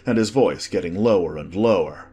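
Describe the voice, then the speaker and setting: A man's voice: deep voice, normal speed, dark tone, husky, soft background music. A man narrating a storybook as a scripted audiobook.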